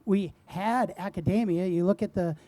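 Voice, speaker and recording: An excitable middle-aged man with a Boston accent answers on a conference panel. His voice is warm and mellow. He punches his points home and asks himself rhetorical questions. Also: high-pitched, normal speed. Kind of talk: animated panel talk.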